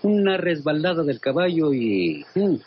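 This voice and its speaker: An actor playing a roguish, jolly country bandit in a comic radio drama, with a folksy hesitant drawl and a northern Mexican accent. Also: male voice, a little high, sing-song, a little slow, slight background noise. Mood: explaining. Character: roguish, jolly one-handed rustic Mexican male bandit. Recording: muffled radio drama under loud ambience.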